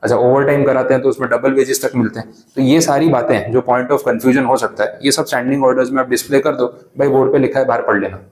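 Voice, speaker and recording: A man lecturing a class in an Indian accent, mixing in English, explaining with everyday asides and reading legal text slowly. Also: mid-range voice, fairly even intonation. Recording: echoey classroom.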